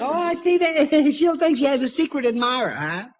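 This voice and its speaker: A man playing a flustered, bumbling middle-aged family man in a 1940s radio comedy, hesitant, stammering and excitable. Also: very high voice, a little fast, highs cut off. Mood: eager. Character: flustered, bumbling suburban husband and father, office employee. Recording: noisy old 1940s radio recording, audience.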